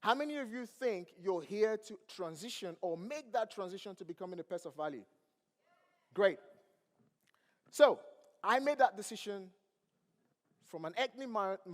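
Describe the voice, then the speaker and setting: Nigerian man preaching from a big stage. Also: sits high. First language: not English. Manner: preachy, asks the crowd questions. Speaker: motivational speaker. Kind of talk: motivational stage speech. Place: large event hall. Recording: long hall reverb.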